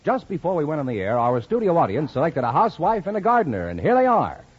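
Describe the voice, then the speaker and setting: A man's voice: a little high, wildly swooping pitch, quick pace, breathy edge. A man with an American accent announcing a 1950s radio quiz show. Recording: muffled 1950 radio recording with audience.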